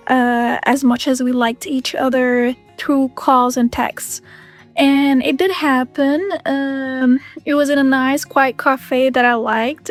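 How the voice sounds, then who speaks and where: High, flat-voiced hesitant woman. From Kuwait. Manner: hesitant, many fillers, searching for words. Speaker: interviewee. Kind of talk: interview, personal story. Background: background music.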